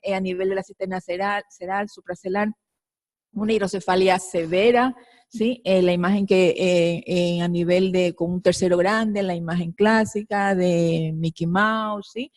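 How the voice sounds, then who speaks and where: A woman's voice: fairly low pitch, fairly even intonation, moderate pace, darkish tone, no echo, studio quality. A woman from Ecuador, a pediatric neurosurgeon, presenting a case at a conference, hesitant with many fillers.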